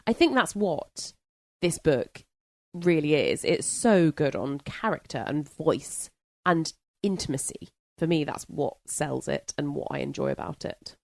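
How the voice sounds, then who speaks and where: A woman's voice: deep voice, lively intonation, unhurried, vocal fry, no echo. A woman guest on a book podcast, chatting informally and with enthusiasm about what she reads. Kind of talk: casual book chat.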